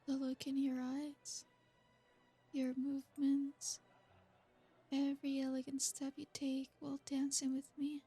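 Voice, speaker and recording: A high, breathy vampire noblewoman played by a woman purrs slowly in an intimate ASMR roleplay. Her pitch stays narrow and even, with whispered asides slipped in. Faint music drifts underneath. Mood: seductive. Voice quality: breathy, soft-spoken. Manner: slow, seductive, drawn-out with whispered asides. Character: ancient possessive female royal vampire host. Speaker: ASMR creator.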